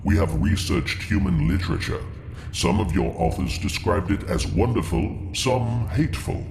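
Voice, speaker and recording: An actor playing a cold, imperious alien commander in an audio drama, speaking in formal, stilted phrases. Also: male voice, fairly low pitch, sing-song, moderate pace, vocal fry, roomy sound, noisy background. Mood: probing, puzzled. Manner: formal, clipped, stilted speech without contractions.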